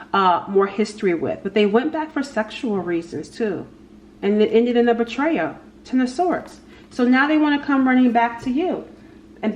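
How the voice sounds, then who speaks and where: A woman's voice: a little high, darkish tone. Female tarot host, informal and improvised, repeats herself while reading cards; roomy sound with slight background noise. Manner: halting, repeats phrases, talks to spirit and cards. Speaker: tarot reader, channel host. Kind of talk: casual tarot card reading, unscripted. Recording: echoey room with faint background noise.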